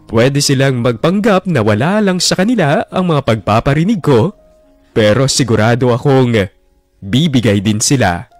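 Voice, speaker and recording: A man's voice: medium pitch, lively intonation, normal speed, dry recording, faint music behind, natural voice. An actor narrating in first person as a jealous young man, bitter and resentful, in a radio drama. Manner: bitter, resentful inner monologue. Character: jealous young man brooding over his ex.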